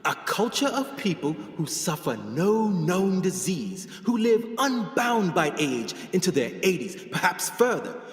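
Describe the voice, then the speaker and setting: An actor playing a proud, passionate 1920s explorer and scholar in a radio play, speaking in oratorical style. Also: male voice, high-pitched, big pitch swings, unhurried, hardly any pauses, faint music behind. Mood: fervent. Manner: declamatory, oratorical. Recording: echoey hall with long reverb.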